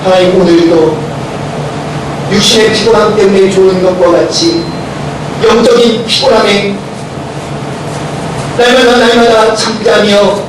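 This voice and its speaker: Hoarse man, senior pastor: loud and preachy, throws questions at the pews. High-pitched and booming through a very echoey sanctuary, heard from a distance. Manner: preaching loudly with rising intensity, asks the congregation questions. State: hoarse from a cold. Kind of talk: sermon and closing prayer. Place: church sanctuary. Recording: very echoey church hall recorded from a distance with noise.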